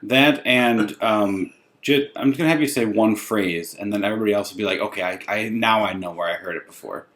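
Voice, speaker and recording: A man's voice: fairly low pitch, a little fast, a bit bright. A man hosting a podcast in a casual, jokey, self-deprecating chat with his friend. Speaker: podcast host.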